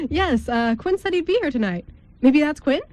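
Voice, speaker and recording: A woman's voice: high-pitched, lively intonation, unhurried, darkish tone, pitched above the performer's own voice. A woman voicing a smitten young fiancé in an amateur radio play, speaking eagerly and sentimentally. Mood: playful. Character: smitten young male fiancé, excited about his wedding.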